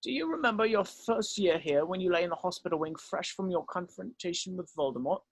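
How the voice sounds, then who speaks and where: Man playing a grave old wizard headmaster, high-pitched. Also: lively intonation, slightly rough, no echo, heavily acted. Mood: heavy, confessional. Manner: measured, solemn, explanatory. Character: grave, weary old male wizard headmaster, gently remorseful. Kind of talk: dramatic script read-through.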